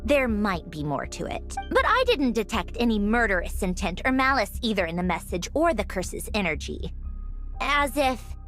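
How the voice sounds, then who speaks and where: A woman playing a sharp, blunt, analytical young woman in a fantasy video game. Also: mid-range voice, moderate pace, faint music behind. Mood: wry. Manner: animated, blunt, sardonic.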